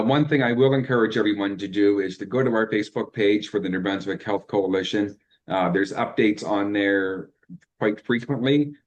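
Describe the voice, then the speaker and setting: A Canadian man co-hosting a podcast, talking in a relaxed, loose way. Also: fairly low pitch. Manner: relaxed, loose with fillers and asides. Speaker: podcast co-host.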